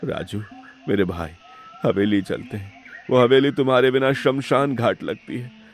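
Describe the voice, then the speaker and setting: A man's voice: mid-range voice, a little slow, faint music behind, close to an everyday voice. Casting: man to play greedy elder-brother villain, mid-range voice, dramatic and pleading, village cartoon. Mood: remorseful, pleading. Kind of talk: melodramatic cartoon moral-story acting.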